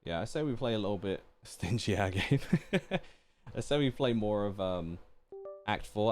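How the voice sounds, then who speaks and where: Low-voiced man streaming casually. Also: a little mellow. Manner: laid-back, slangy. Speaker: game streamer. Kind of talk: casual live reaction commentary. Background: game music.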